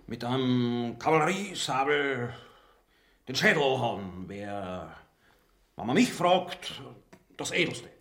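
An actor playing a dutiful army captain turned conspirator, with an Austrian accent, in a grotesque comic radio play. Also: male voice, mid-range voice, animated, takes it slowly, a bit bright. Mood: eager. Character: eager male army captain, bloodthirsty yet cautious conspirator.

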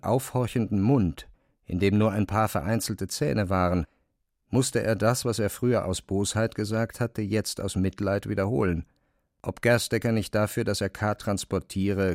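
A man's voice: lowish voice, normal speed, a little mellow, dry recording, studio quality. A man narrating literary prose as the storyteller of an audio drama.

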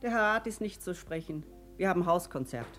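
A brusque housekeeper who guards the door. Her voice is on the low side and rattles along briskly. She is curt and matter-of-fact, with faint music in the background. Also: animated, fairly bright tone. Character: brusque, gatekeeping housekeeper, plain-spoken. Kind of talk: mid-century German screen acting. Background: house concert music.

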